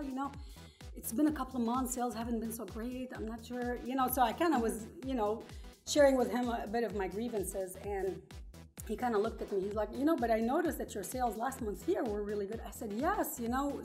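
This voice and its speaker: A woman's voice: a little high, darkish tone. A middle-aged woman from Lebanon, a native Arabic and French speaker, telling personal stories in conversational English as an interview guest. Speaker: interview guest, engineer turned small business owner.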